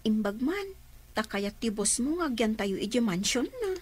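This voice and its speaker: A woman acting as a curious, excitable younger sister in a radio drama, with an Ilocano accent. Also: on the high side, measured pace, husky, exaggerated voice. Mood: curious, impressed.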